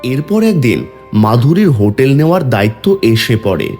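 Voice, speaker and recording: A man's voice: medium pitch, sing-song, a little fast, darkish tone. A man narrating a scripted cartoon story as its storyteller. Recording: music behind the voice.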